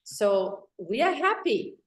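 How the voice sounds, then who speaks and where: Italian woman w slight german accent, calm business talk, echoey room. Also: mid-range voice, slow. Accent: German-tinged. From Italy. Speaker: real estate executive, guest. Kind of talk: interview answers.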